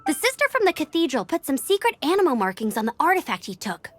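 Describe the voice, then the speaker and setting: A woman's voice: high-pitched, very animated, fast, soft background music. A woman voicing a perky, earnest young female scout and gliding instructor in a video game, with a peppy, encouraging manner. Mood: eager, confident. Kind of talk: animated video game voice acting.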